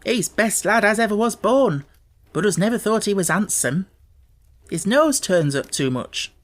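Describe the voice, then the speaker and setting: A man's voice: sits high, lively intonation, measured pace, a bit bright, pitched above the performer's own voice. A man voicing a cheerful, plain-spoken young Yorkshire housemaid in an audiobook, chatting in broad, homely dialect. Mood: fond, chatty.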